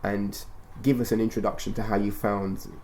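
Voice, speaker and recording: A man with a low, creaky voice and a mellow tone hosts a conversational podcast. He explains things in a measured, instructive way, repeating and rephrasing as he goes. There is some background noise and ambience on the recording. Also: little pitch movement. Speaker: radio show and podcast host. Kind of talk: conversational interview, explaining and prompting.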